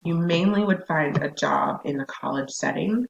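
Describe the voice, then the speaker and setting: A hoarse woman with a scratchy, gravelly voice lectures a counseling class. She talks in a chatty way and breaks off into asides. The recording sounds dull and lo-fi. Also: deep voice, moderate pace. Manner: chatty, laughs, self-interrupting asides. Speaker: counseling instructor.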